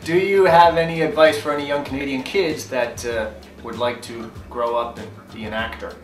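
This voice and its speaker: A man hosting an interview, asking playful questions with deadpan teasing. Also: mid-range voice, normal speed, reverberant, distant mic, soft background music. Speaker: interview host.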